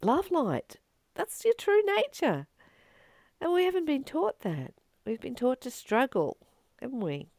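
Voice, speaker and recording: A woman speaking as a spiritual teacher, reflecting informally on a reading in an earnest, expressive way with emphatic repetition. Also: sits high, a little slow, no room sound, clean studio sound. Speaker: spiritual teacher and channel host.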